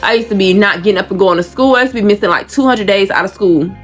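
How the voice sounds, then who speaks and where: A woman's voice: medium pitch, fast talker. Woman, 30s, vlogger; blunt, emotional personal storytelling, salty language, light music bed. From Georgia, USA. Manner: blunt, emotional, swears freely.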